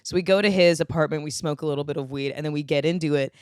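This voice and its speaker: A woman with a low voice hosting a podcast, telling crude comic stories in casual chat. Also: very fast, dry recording, clean studio sound. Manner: crude, animated storyteller. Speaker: podcast host.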